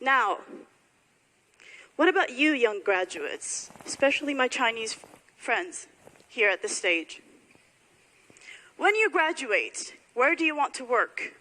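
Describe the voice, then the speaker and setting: A high, bright-voiced woman from Somalia delivers a speech to a graduating audience, driving her points home with repeated phrases and pointed questions. Her voice rings out in a large hall with a long reverb, and faint music sits underneath. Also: sing-song, takes it slowly, pauses often. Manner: emphatic, rhetorical repetition. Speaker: speaker addressing graduates. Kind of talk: public speech.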